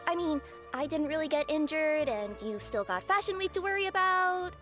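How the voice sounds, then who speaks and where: Casting: young female cat-spirit courier for a game. Extremely high voice, earnest, hesitant, apologetic, faint music behind. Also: animated, normal speed, a bit bright. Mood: earnest, reluctant. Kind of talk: video game voice acting.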